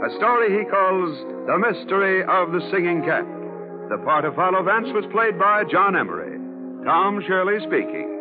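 A male announcer from 1940s radio with a high-pitched voice, reading polished sponsor copy. The old broadcast sound is thin and phone-like, with prominent music behind him. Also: sing-song, normal speed.

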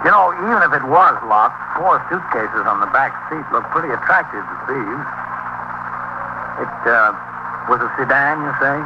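Authoritative male police captain played by an actor, medium pitch, dry and composed, muffled vintage radio drama. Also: lively intonation, moderate pace, close to an everyday voice. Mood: dry, firm. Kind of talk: 1950s radio drama acting. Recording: very noisy muffled 1950s radio recording.

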